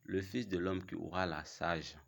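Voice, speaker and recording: Man with a cold, deep voice, preaching to viewers, halting and insistent. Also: steady tone, gravelly. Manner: challenging, repetitive, halting. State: has a cold. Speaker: religious video host. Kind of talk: casual religious preaching to camera.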